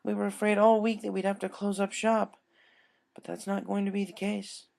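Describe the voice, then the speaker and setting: A narrator voicing a kindly, apologetic female pony shopkeeper with a soft, polite, motherly manner in a story. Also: medium pitch, level tone, normal speed, gravelly, voice pushed up. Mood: regretful.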